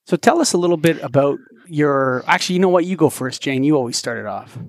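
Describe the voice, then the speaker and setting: A man's voice: a little high, lively intonation, brisk, dry recording, clean studio sound. A man hosting a podcast, introducing and welcoming a guest in casual talk. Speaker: podcast host.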